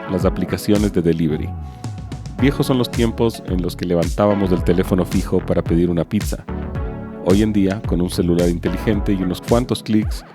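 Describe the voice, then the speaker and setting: A Chilean man with a Chilean accent hosting a podcast, reading an upbeat scripted intro and then chatting with a guest. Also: low voice. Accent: Chilean Spanish. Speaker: podcast host.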